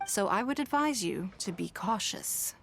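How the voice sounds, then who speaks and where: A woman voicing a composed, shrewd, wealthy business lady in a video game, speaking in a measured, elegant, formal way. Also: mid-range voice, animated, vocal fry, noisy background. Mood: cautious, advising. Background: background music.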